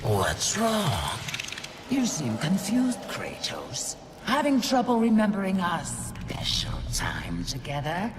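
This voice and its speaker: An actress playing a sadistic Fury in a video game cutscene, taunting and menacing in a theatrical way. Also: female voice, lowish voice, huge pitch swings, slow, very noisy, heavily acted. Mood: mocking menace. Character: sadistic Fury, cruel female tormentor goddess, taunting her captive. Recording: loud music under the voice. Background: loud music.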